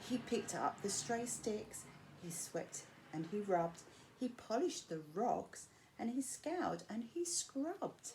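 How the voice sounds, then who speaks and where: A woman reading a rhyming picture book aloud to children, with measured, expressive phrasing and drawn-out key words. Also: a little high, low-quality recording. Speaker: storyteller reading to school pupils. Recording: clear background noise.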